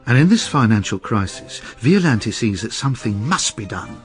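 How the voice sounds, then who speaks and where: A male actor plays a gossipy, opinionated onlooker in a period radio drama. His voice is medium in pitch and very animated, explaining scandal with knowing relish over faint music. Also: normal speed, lightly acted. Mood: storytelling. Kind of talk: radio drama dialogue and storytelling.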